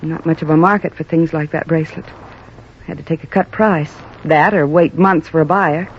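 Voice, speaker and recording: A woman's voice: low voice, fairly even intonation, moderate pace, a little hiss or hum. An actress playing a proud, desperate young former hat check girl in a 1950s radio drama, earnest and pleading. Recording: muffled old radio recording.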